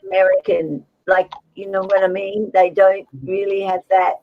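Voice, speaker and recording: A woman's voice: mid-range voice, monotone, normal speed. Chatty Australian woman on a lo-fi call. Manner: chatty, laughs easily, explains at length. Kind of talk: casual chat on a livestream. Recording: dull, lo-fi and dry.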